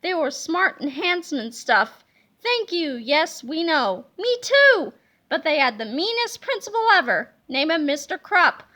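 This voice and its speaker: A woman reading a children's comic book aloud in a playful, animated way, slipping into silly voices. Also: high voice, unhurried. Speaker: reader.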